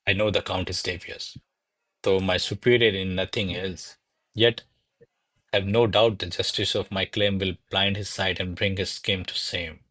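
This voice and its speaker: An actor playing courtly men of a classical comedy in a radio play, with formal, florid theatrical delivery. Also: male voice, low voice, steady tone, moderate pace, fairly bright tone, no echo. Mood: pompous, ardent. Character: courtly servants and grandiloquent noble suitor of classical Spanish comedy. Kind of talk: stage-style radio play acting.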